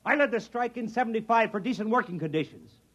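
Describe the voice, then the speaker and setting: Scrappy high-voiced union man. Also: animated, fast talker, fairly bright tone, highs cut off. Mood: combative. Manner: plain-spoken, folksy, combative. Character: blunt, scrappy working-class union mechanic. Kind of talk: 1950s radio acting. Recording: old radio broadcast with crowd.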